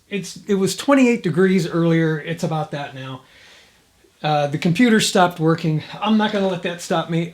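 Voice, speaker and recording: A man hosting a hobby vlog, chatting casually and thinking aloud as he explains things. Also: fairly high pitch. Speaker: host of a radio hobby video.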